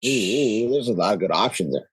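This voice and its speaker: A man's voice: lowish voice, expressive, fast talker, slightly rough, no room sound, low-quality recording. A man chatting casually and emphatically as a podcast guest, swearing freely. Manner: profane, emphatic. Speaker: podcast guest from a local golf crew. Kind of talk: casual chat.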